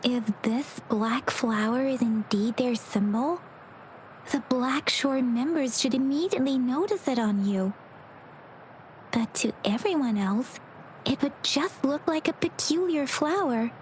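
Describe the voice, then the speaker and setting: A calm, analytical young heroine in a video game. She speaks slowly in a high, gentle, earnest voice, with faint ambience behind her. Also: slight background noise, low-quality recording. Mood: thoughtful. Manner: measured, analytical.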